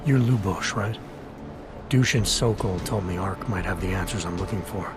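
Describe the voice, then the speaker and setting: A terse, calm augmented agent from a video game, voiced by a man with a very deep voice. He speaks in short, dry, level lines while game score music plays behind him. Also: moderate pace, natural voice. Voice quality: terse, gravelly calm delivery. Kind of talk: video game cutscene acting.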